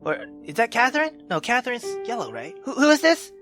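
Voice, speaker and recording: A man's voice: very high-pitched, wildly swooping pitch, fairly quick, pushed and bright. A man doing casual let's play commentary as he reads a visual novel, exclamatory and incredulous. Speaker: gaming video host reading a visual novel. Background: music.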